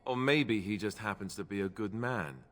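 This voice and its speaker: An actor playing a young, earnest and wry warrior knight in a video game, politely spoken. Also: male voice, fairly low pitch, normal speed, a bit bright, lightly acted. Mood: earnest, reflective. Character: young Templar-trained Grey Warden, earnest, wry. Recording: long reverb, music behind. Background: game music.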